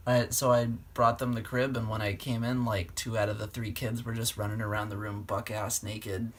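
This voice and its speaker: A man's voice: on the low side, level tone, moderate pace. A man chatting casually and loosely at home, laid-back and informal in the way he talks. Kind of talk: casual chat. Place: indoors at home.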